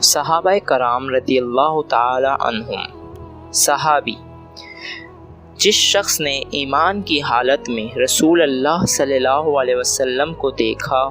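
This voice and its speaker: A man reading scripted religious narration in a formal, measured manner. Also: medium pitch, fairly bright tone.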